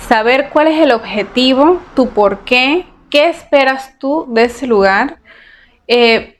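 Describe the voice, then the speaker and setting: A woman from Venezuela answers interview questions in a fairly high voice. She talks earnestly and practically, with frequent hesitations on "eh". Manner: earnest, advice-giving, frequent "eh" fillers. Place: Portugal.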